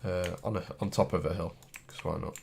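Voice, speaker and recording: A man's voice: deep voice, fairly even intonation, brisk, creaky, a little mellow, slight background noise. A man with a cold, sniffling, casually talking through a game tutorial as he clicks and types. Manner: thinks aloud while clicking and typing, loose and chatty. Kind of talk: casual screen-recorded tutorial.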